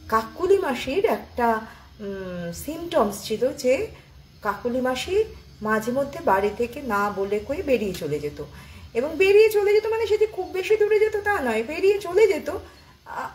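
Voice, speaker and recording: A woman telling a story informally and unscripted, hesitant and conversational, explaining as she goes. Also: high-pitched. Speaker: storyteller on a ghost story show.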